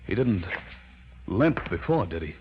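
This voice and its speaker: An actor playing a scientist's earnest, fearful assistant and narrator in an old-time radio horror drama, solemn and dramatic. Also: male voice, low voice, sing-song, measured pace, dull audio, noisy background, exaggerated voice. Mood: alarmed.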